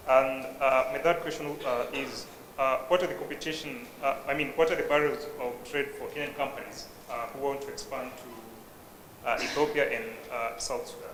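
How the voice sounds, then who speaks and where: A man's voice: mid-range voice, noisy background. A man in the audience asking a string of questions at a conference, hesitant and full of fillers. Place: conference hall. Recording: roomy hall with long reverb.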